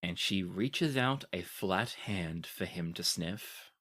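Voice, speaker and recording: A game master narrating a tabletop game with deliberate, dramatic scene-setting. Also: deep voice, expressive, fairly bright tone.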